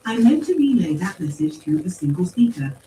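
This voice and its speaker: A woman voicing a calm, formal machine intelligence in an audiobook story, with precise, measured phrasing. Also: lowish voice, voice pushed up. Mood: formal, businesslike. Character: calm, coolly logical machine mind speaking through empty suits. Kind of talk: acted audiobook dialogue. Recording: roomy, distant pickup with faint noise.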